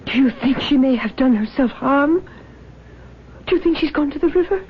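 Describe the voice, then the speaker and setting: An anxious, sharp-tongued mother in a vintage radio play. Her high voice is fretful and exclamatory, on a muffled, noisy recording. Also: expressive, normal speed. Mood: worried. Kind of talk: radio drama acting.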